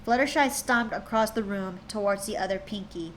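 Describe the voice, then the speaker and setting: A woman's voice: medium pitch, moderate pace, a little hiss or hum. Chatty woman streamer reading aloud. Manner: chatty, stumbling over words while reading.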